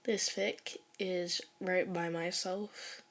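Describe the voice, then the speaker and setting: A woman's voice: lowish voice, level tone, drawn-out words, a bit bright. A young adult woman speaking informally as she introduces and reads her own fan fiction. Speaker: podfic author and reader. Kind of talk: casual spoken intro and fan fiction narration.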